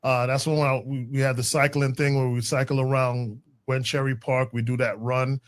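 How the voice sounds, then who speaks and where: A man's voice: mid-range voice, level tone, moderate pace. A man co-hosting a podcast, telling a story in casual, slangy chat with frequent restarts. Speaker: podcast co-host.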